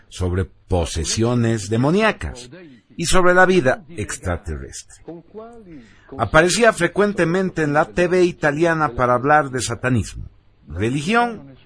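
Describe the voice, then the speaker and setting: A man narrates scripted radio news as its presenter. His voice sits a little high for a man, and his intonation moves widely and animatedly through the reading. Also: fairly bright tone.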